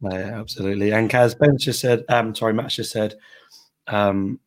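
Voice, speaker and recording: A man with a croaky voice hosting a casual football podcast and greeting listeners. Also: on the low side, steady tone, normal speed. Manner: chatty, reads out listener greetings. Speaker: podcast host.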